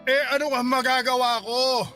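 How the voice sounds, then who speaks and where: A man's voice: very high-pitched, flat delivery, moderate pace, pushed and bright, soft background music. An actor playing a hot-tempered, self-pitying and bullying Filipino father in a Tagalog radio drama. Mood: defensive, bitter.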